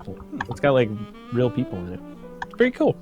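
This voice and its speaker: A man's voice: medium pitch, huge pitch swings, brisk, muffled recording, over music. A young man chatting casually in a rambling, informal way. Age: young adult. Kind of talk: casual chat.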